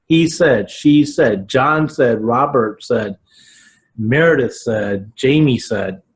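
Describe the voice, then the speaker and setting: An American man in his forties talks to camera like a writing teacher. His delivery is slow and deliberate, with emphatic words and halting pauses. The pitch sits in a middle male range. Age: 40s. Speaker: video podcast host, writing teacher. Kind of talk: informal instructional talk to camera. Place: small living room at home, indoors.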